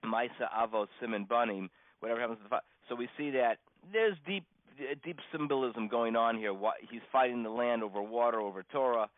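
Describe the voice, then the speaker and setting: Halting male rabbi on a dull line. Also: mid-range voice, no echo. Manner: halting, stammering restarts and frequent pauses. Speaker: rabbi teaching a class. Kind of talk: informal religious lesson, unscripted storytelling. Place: teaching over a conference phone call. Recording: dull, dry conference call line.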